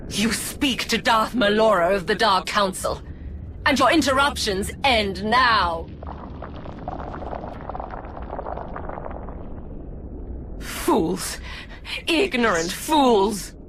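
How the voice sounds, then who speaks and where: A woman's voice: fairly high pitch, expressive, takes it slowly, pauses often, a bit bright, slight background noise, heavily acted. A woman playing a haughty, theatrical Sith scientist villain in a video game, with grandiose, disdainful diction. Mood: contemptuous. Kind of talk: video game cutscene acting.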